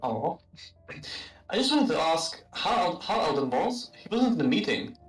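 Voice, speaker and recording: A man's voice: a little high, sing-song, unhurried, faint music behind. A man playing a sheepish, polite young adventurer, hesitant and apologetic, recorded on a distant mic in a roomy space. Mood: timid, worried.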